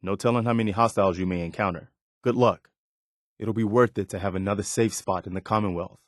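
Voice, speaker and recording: A male actor as a loyal militia officer from a wasteland video game. His voice sits on the low side and is recorded dry and close. He speaks with measured, conscientious earnestness and a touch of weariness. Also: steady tone, dry recording, natural voice. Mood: hopeful, encouraging. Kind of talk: video game character dialogue.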